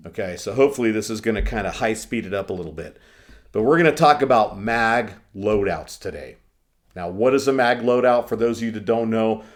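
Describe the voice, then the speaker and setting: A young man hosting his own channel, sick and losing his voice, talking casually and profanely to camera through a condenser mic in a room with poor acoustics. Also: mid-range voice. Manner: loose, profane, opinionated. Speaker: firearms channel host. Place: indoor lounge room.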